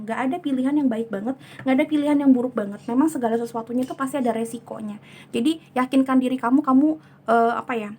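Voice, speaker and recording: A hoarse woman giving a chatty tarot reading, soothing and unhurried, often slipping into English words. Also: fairly high pitch. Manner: soothing, chatty, many fillers. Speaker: tarot reader.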